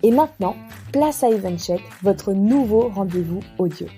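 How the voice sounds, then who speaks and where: French woman hosting a podcast, soft mellow tone, mid pitch, faint music. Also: expressive, normal speed. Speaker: podcast host and interviewer. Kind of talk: podcast intro and conversational interviewing.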